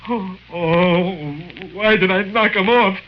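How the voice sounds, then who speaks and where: An actor playing a cowardly small-time killer, stammering and blustering with rough street grammar in a 1940s radio drama. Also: male voice, sits high, steady tone, takes it slowly. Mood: shaken, blustering. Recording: dull, noisy 1940s radio recording.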